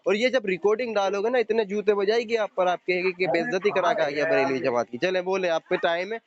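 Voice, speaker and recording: A man's voice: high voice, a little fast, a crowd in the background. A man debating religion on a phone call, combative and taunting, insisting on his points. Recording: dull, noisy phone call recording.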